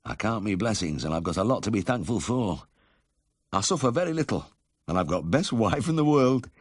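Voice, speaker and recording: A man voicing a bedridden, cheerful ex-miner with a husky South Yorkshire accent in a story reading. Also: fairly low pitch, very animated, fairly quick, dry recording, clean studio sound, pitched above the performer's own voice. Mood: stoical, grateful. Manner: plain-spoken dialect, warm and uncomplaining.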